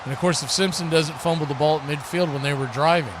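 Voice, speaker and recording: A man's voice: fairly high pitch, fairly even intonation, fast talker, background noise. An American man giving live play-by-play football commentary as host, in short, clipped present-tense calls.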